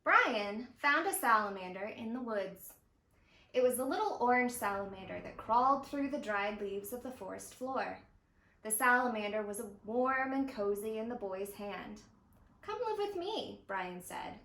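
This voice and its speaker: A woman in her thirties reading a picture book aloud for young children, with deliberate, expressive phrasing. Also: a little high. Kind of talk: children's storytime read-aloud. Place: living room at home, indoors. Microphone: camera a few steps away. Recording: roomy echo of a home interior.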